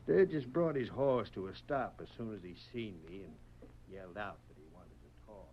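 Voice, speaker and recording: A man's voice: lowish voice, lively intonation, moderate pace. A man playing a sly, gloating Old West crook in a radio western, with folksy, ungrammatical talk. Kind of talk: 1940s radio western acting. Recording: muffled vintage radio recording, faint noise.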